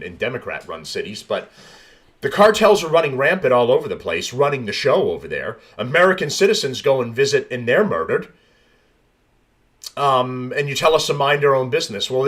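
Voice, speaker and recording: A man's voice: mid-range voice, fairly bright tone. A man hosting a podcast, reading news stories aloud and adding blunt, profane commentary with frequent restarts. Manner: blunt, profane, rambling asides. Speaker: podcast host.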